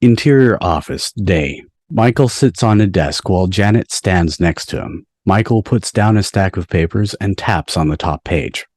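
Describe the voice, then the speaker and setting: Casting: male stage-direction reader for a script table read, low voice, dry sound. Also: lively intonation, normal speed, hardly any pauses, a little mellow, no echo. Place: home, on a video call.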